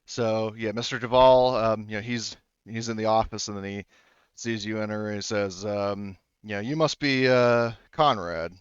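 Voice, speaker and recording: A man narrating a tabletop roleplaying game as game master, casually improvising and haltingly feeling his way through the details. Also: lowish voice, little pitch movement, clear, resonant tone, no room sound, dull audio.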